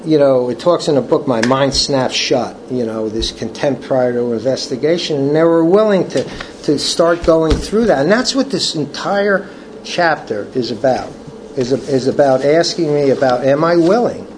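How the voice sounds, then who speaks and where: An older man leading a recovery study group, reading from the book and sharing personal testimony in a halting, earnest way with many restarts. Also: mid-range voice, lively intonation, a little hiss or hum, low-quality recording. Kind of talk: casual talk reading from a book.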